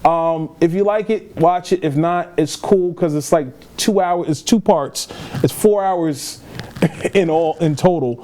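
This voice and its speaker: A man's voice: a little high, a little slow, dark tone. A man co-hosting a film podcast in casual chat, rambling and repeating himself for emphasis. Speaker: podcast co-host reviewing films.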